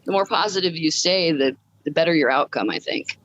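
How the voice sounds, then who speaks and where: A woman's voice: low-pitched, a little fast, a bit bright, no echo, muffled recording. A woman guest telling her personal story in a casual, upbeat way during a podcast interview. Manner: upbeat, chuckles as she talks.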